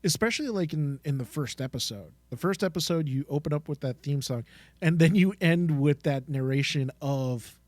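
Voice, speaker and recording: A man's voice: on the high side, normal speed, a little mellow, no echo. A man co-hosting a podcast, chatting casually in an enthusiastic, informal way. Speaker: podcast co-host. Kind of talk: casual chat.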